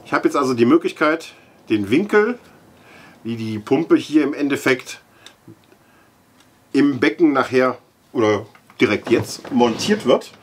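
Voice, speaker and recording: A middle-aged man with a husky voice, giving a casual, halting product demonstration as he handles the parts. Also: medium pitch, lively intonation, slight background noise. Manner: halting, thinking aloud while handling parts. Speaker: aquarium product reviewer. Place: indoor room with large aquarium.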